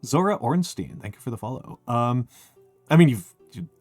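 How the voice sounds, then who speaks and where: A man's voice: mid-range voice, lively intonation, darkish tone, no room sound. A man from the United States chats on his livestream and keeps circling back through restarts and fillers. His voice sits in a middling register. It sounds dry and close, with faint music underneath. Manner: halting, many fillers and restarts. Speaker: streamer. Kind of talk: casual chat.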